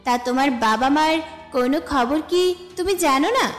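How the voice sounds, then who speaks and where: High-voiced, friendly, bossy college girl played by a woman. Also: normal speed, lightly acted. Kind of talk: romantic audio story dialogue. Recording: echoey reverb with music bed. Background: music.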